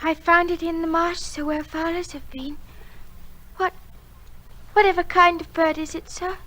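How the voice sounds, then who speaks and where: A woman's voice: very high voice, fairly even intonation, unhurried, fairly bright tone. A woman playing a timid, tender-hearted fisher girl in a radio drama, speaking politely and hesitantly. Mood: anxious, curious. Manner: hesitant, polite, addresses elder as sir.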